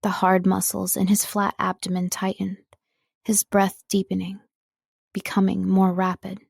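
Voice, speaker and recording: A woman reads scripted fiction as an audiobook narrator. Her delivery is slow and expressive, with a creaky edge at a middle pitch. The sound is close and dry. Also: no room sound. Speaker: audiobook narrator, voice-over artist.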